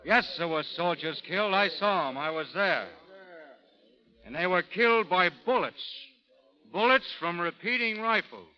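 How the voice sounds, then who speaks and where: An actor playing a stoic, resolute frontier gunfighter in an old radio drama, plain-spoken and earnest. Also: male voice, high-pitched, lively intonation, a little slow. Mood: steely. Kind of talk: 1930s-40s radio drama acting. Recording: muffled vintage radio recording, crowd noise behind.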